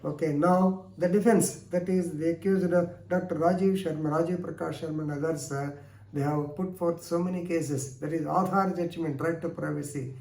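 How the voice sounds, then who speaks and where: A man's voice: a little high, steady tone, normal speed, gravelly, a little mellow. A man with an Indian accent giving an explanatory law lecture in a didactic way, repeating phrases for emphasis. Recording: echoey room, distant microphone.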